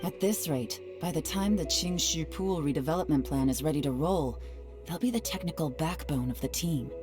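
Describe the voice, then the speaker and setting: A woman voicing a shrewd, secretive female spy who speaks in a calm, measured, analytical way, in a game with music under her lines. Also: low voice, natural voice. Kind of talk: game voice acting.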